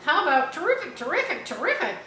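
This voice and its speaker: A woman doing a high fussy stammering gander. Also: big pitch swings, quick pace, echoey room, noisy background. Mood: flustered. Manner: stammers and repeats everything. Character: fussy repetitive male barnyard gander.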